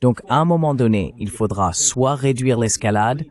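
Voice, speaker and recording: A man's voice: mid-range voice, expressive, normal speed, a little mellow. A man acting as interviewer, giving commentary and putting questions in a dubbed interview.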